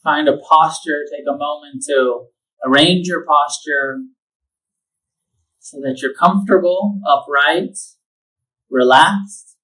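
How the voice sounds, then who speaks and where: Slow, calm man guiding a meditation. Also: on the high side, distant mic, poor audio. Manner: slow, calm instructions with long pauses. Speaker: meditation teacher. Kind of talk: guided meditation.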